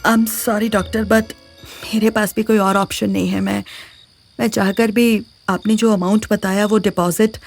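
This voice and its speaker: A woman's voice: medium pitch, level tone, measured pace, vocal fry, soft background music. A woman playing an anxious but shrewd young woman in an audio drama, urgent, with an Indian accent. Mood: worried, pleading. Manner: urgent, mixes Hindi with English.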